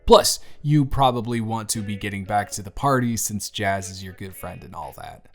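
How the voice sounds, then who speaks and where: A man playing a bruised, self-deprecating teenage boy confiding in his best friend in an intimate audio roleplay, talking in a hesitant, gently wry, conversational way. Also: fairly low pitch, expressive, moderate pace, vocal fry. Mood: apologetic, reassuring. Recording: music behind the voice. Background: soft background music.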